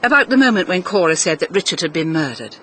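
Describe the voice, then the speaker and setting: Genteel English lady, bright lively voice, hesitant, troubled, radio drama, noisy ambience behind. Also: lowish voice, quick pace. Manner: hesitant, polite. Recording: noisy outdoor scene ambience.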